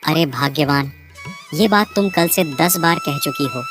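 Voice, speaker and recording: A woman voicing a simple, honest village boatman, a hurried husband who is coaxing and mildly impatient, in a cartoon story. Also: low-pitched, over music. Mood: placating.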